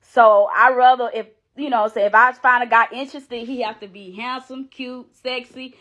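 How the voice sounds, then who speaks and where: A woman in her thirties chatting casually with her viewers during a mukbang eating video, talking straight to them with drawn-out exclamations between bites. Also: a little high, steady tone, fairly bright tone. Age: 30s. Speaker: vlogger.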